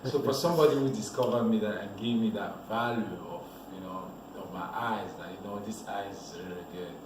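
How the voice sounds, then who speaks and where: Male model from Cameroon, interview guest; low voice, loose storyteller, distant mic, roomy and noisy. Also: fairly quick. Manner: relaxed, rambling storyteller with restarts. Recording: echoey room, voice from a distance, noisy background.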